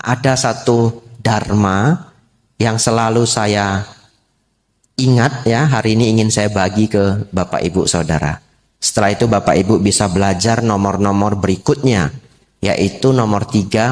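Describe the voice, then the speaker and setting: A man preaching as a Buddhist monk, unhurried, repeating key phrases and posing rhetorical questions to lay listeners. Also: fairly low pitch. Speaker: Buddhist monk giving a Dhamma talk. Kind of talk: sermon to a lay congregation.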